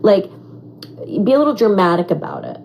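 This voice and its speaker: A raspy young woman answering fan questions in a casual, animated chat, full of "like" and "um". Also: mid-range voice, a little fast, muffled recording, a little hiss or hum. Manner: animated, chatty, heavy on fillers like "like" and "um". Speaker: former reality show contestant.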